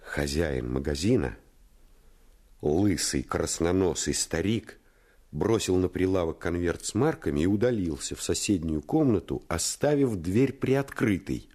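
An actor playing an earnest first-person narrator of a boyhood adventure in a radio play, expressive and suspenseful. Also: male voice, low voice, a little slow. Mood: hushed suspense. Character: grown male narrator recalling his boyhood adventure, earnest.

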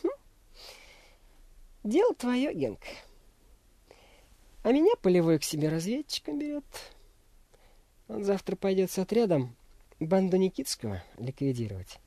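A woman's voice: mid-range voice, big pitch swings, unhurried, long pauses, warm tone, no room sound. A woman voicing a confident, know-it-all young boy in a radio play, with a superior, teasing manner. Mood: proud.

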